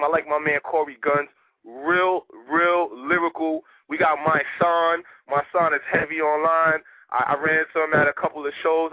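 A man from Haiti, a rapper guest talking casually in a radio interview, animated and streetwise. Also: fairly high pitch. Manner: animated, streetwise, rapid talk with repeated "you know what I mean". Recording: tinny phone line, dry.

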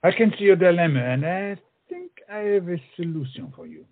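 An actor playing a witty French friend and mentor in a stage comedy, speaking in a flowery, coaxing, theatrical way. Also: male voice, sits high, very animated, fairly quick, no echo, narrow, tinny audio. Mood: scheming, confident.

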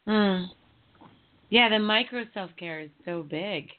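A woman's voice: medium pitch, slow. A woman in her thirties chatting casually as a host, candid and self-deprecating as she tells everyday stories. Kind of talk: casual chat. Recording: dull phone-like audio.

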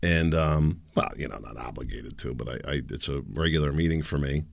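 Deep-voiced man in his fifties, dry booth sound, loose sarcastic solo podcaster. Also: a little fast, vocal fry, no room sound. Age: 50s. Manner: rambling, sarcastic, self-deprecating asides. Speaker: podcast host. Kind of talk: casual solo podcast monologue.

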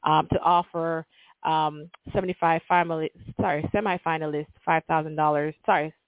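A woman's voice: low-pitched, fairly even intonation, moderate pace, no echo. A woman from Jamaica with a Jamaican accent, a middle school principal speaking earnestly and enthusiastically as an interview guest, with many ums and polite asides. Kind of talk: conversational interview. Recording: tinny call audio, dry.

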